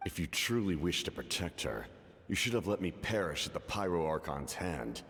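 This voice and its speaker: A man playing a cunning, cold, commanding officer in a video game, speaking in a measured, guarded, formal way. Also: big pitch swings, fairly bright tone, big-room sound, full character voice. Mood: stern, probing. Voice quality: very deep, gravelly. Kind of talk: dramatic video game voice acting.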